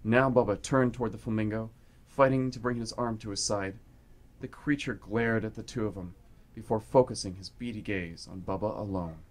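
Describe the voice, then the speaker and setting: A man's voice: lowish voice, normal speed. A man reading scripted, dramatic story narration for a radio play.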